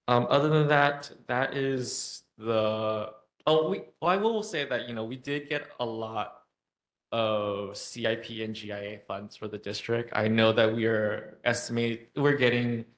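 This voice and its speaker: A man, a state legislator, gives an informal report at a community center meeting, pausing often with fillers. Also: medium pitch. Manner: informal, hesitant, frequent filler pauses.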